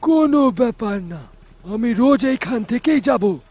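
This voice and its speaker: Man playing a greedy, high-pitched eager fellow. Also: expressive, a little slow, warm tone, breathy edge, full character voice. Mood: greedy, scheming. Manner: eager, exclamatory. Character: greedy male friend of a rickshaw driver.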